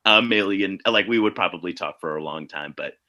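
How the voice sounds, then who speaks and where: A man on a video call speaking casually as a panelist, thinking aloud in a halting way with many repeats. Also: deep voice, bright, forceful tone. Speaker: panelist, theatre artist. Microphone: video call microphone.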